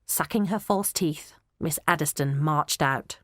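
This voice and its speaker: A woman narrating a children's audiobook in a measured, even way. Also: low-pitched, dry recording, clean studio sound. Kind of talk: storybook narration.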